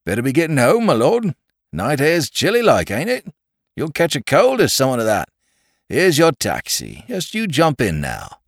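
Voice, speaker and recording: A stolid middle-aged police inspector, voiced by a male narrator, coaxing in a plain, lively voice. The sound is close and dry. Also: mid-range voice, moderate pace, gravelly, no echo, pitched above the performer's own voice, exaggerated voice.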